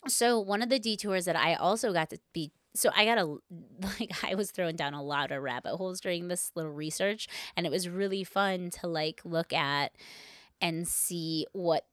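A woman's voice: on the low side, moderate pace, dry recording, clean studio sound. A woman co-hosting a podcast in casual conversation, telling a story in informal, slangy language with lots of "like". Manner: informal, slangy, heavy use of "like".

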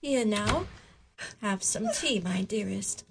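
An actress playing a worn, grieving mother in a radio drama, quiet and tender. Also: medium pitch, lively intonation, slow pace, creaky, slight background noise.

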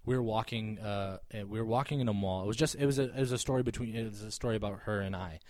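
A man's voice: fairly low pitch, rapid-fire, a little mellow, no echo, studio quality. A man in his thirties chatting casually as a podcast co-host, rambling with many restarts and fillers and swearing freely. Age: 30s. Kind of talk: casual chat.

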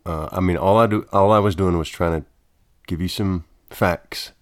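A man acting as the intern and sidekick on a comedy podcast, speaking in a meek, deadpan, mildly aggrieved way. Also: low-pitched, normal speed, no room sound, studio quality.

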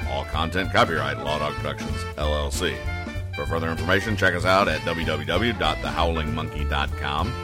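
Guy with a low bright voice, deadpan podcast host, keeps restarting and over-explaining jokes. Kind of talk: deadpan comedic explanation of newspaper comic strips.

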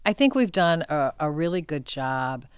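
A woman's voice: low-pitched, very animated, normal speed, clean tone, no room sound, studio quality. An older woman speaking as an interview guest, informal and earnest, thinking aloud in short conversational phrases. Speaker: film center executive director, interview guest.

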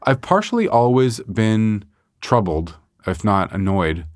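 A middle-aged man from Montreal talks about his work in a casual interview. His voice sits very low, and the sound is close and dry. He thinks aloud, trailing off and restarting often. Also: sing-song, measured pace, dry recording. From Montreal, Canada. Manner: hesitant, many restarts and fillers, reflective. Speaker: artist guest.

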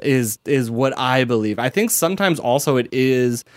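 A man's voice: mid-range voice, animated, normal speed, dry recording. Young guy w/ chicago accent, rambly and full of likes and ums, dry close sound. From American Midwest. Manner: rambling, heavy use of "like" and "um". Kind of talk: casual chat.

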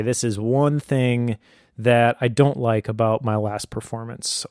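A middle-aged man with a medium-pitched voice explains his advice in a casual, thoughtful way. He talks as a parent would, in a dry recording with little room sound. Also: a little mellow, dry recording. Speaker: homeschooling parent giving advice.

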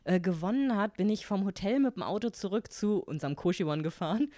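A German-speaking woman in her forties, a guest telling lively stories from her life in a casual interview and acting out her own quoted thoughts. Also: mid-range voice, quick pace, no room sound, clean studio sound.

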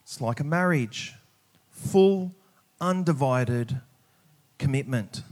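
A man preaching a sermon, speaking emphatically and pausing deliberately on key words. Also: mid-range voice, animated, a little mellow. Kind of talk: sermon with scripture reading.

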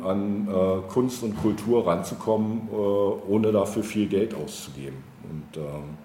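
Low-voiced, halting man in a roomy recording. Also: dark tone. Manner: hesitant, deliberate, halting phrasing. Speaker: political candidate being interviewed. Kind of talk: reflective interview answers on policy. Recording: roomy, noticeable background noise.

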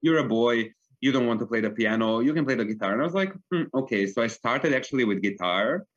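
Young male interview guest from Serbia, earnest, chatty, mid-pitched, slightly muffled recording. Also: lively intonation, fast talker. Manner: earnest, frequent fillers like "like". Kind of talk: casual interview talk. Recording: dull lo-fi recording.

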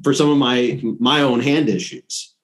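A middle-aged man acts as interviewer in a casual conversation. He has a medium-pitched voice and an informal delivery, wandering through his thoughts as he talks. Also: steady tone, unhurried. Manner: informal, rambling. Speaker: interviewer, host. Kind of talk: casual chat.

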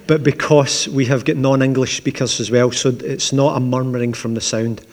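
A man with a Glaswegian accent, preaching as the pastor of a church in a conversational, gently humorous way. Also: medium pitch, steady tone, normal speed. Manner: conversational, with asides and dry humour. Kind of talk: sermon with opening prayer. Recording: long church reverb.

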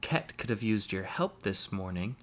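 A man voicing a stern, tired farm mother who speaks low and quietly. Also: lively intonation, moderate pace. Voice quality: low, quiet, hard-edged. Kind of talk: audiobook character voice.

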